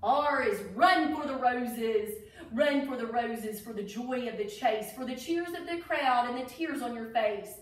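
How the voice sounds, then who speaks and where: A woman's voice: a little high, unhurried. A woman reading a picture book aloud to children, with enthusiastic asides to her young listeners. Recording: echoey room, distant microphone.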